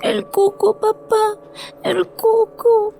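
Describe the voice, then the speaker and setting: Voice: extremely high pitch, fairly even intonation, drawn-out words, gravelly, music in the background, exaggerated voice. A performer voicing a terrified, crying little girl in a horror audio drama.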